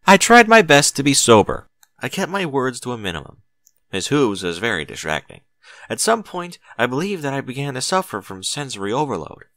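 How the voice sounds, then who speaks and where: Man playing an anxious, formal unicorn gentleman, animated pitch. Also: no room sound. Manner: formal, precise, fretful. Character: anxious, stiffly formal male unicorn letter-writer. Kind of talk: storybook character reading a letter.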